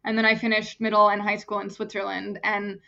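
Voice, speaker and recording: A woman's voice: mid-range voice, completely flat delivery, brisk, vocal fry, pushed and bright, highs cut off. A young woman from Germany, an actress and stunt performer, chatting casually as an interview guest, often restarting her phrases.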